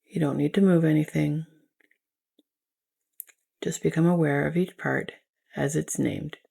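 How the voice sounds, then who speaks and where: A woman guides a restful meditation in a gentle, soothing manner. Her voice sits low and mellow, with plenty of soft vocal fry. She gives each instruction calmly, like a teacher of yoga nidra. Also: unhurried.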